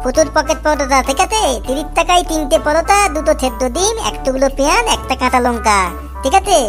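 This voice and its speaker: A performer voicing a hot-tempered, boastful young male street food seller in a comic cartoon, with a squeaky, lisping, childlike voice and a scolding manner. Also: expressive, quick pace. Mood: businesslike. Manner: ranting, scolding. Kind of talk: comic Bengali cartoon dialogue. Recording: loud music behind the voice. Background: music.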